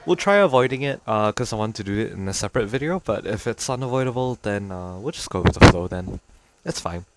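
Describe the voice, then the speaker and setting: A man's voice: on the low side, expressive, fast talker, noisy background. A man streaming a game playthrough, casually commentating with wry asides and reading on-screen text aloud. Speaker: streamer playing through a game.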